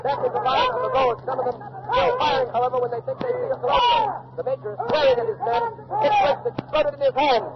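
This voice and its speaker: High-pitched urgent radio war reporter. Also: male voice, big pitch swings, vocal fry, over a noisy crowd, full character voice. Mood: tense, shaken. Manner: urgent, halting eyewitness reporting. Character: urgent male radio war reporter, breathless eyewitness at a battle. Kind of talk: 1940s radio acting, live on-the-spot news commentary. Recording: muffled old radio recording, loud battle noise.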